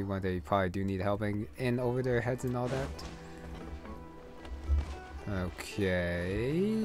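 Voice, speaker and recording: Low-voiced man rambling over game music. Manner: rambling, thinking aloud. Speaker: gamer, let's play host. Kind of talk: casual gameplay commentary.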